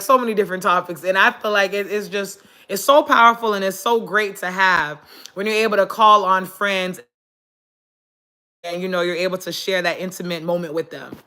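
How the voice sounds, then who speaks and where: Raspy congested woman chatting to viewers. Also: medium pitch, bright, forceful tone. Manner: chatty, greets viewers, many fillers. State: congested, losing voice. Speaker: vlogger. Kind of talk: casual live-stream chat.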